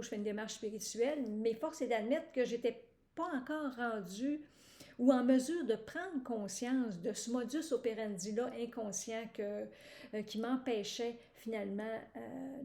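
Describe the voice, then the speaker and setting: Québécoise woman, testimonial, hesitant and filler-heavy, raised pitch, echoey room. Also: mellow. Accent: Québécois French. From Quebec, Canada. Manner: halting, many "euh" fillers and restarts. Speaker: program participant giving a testimonial.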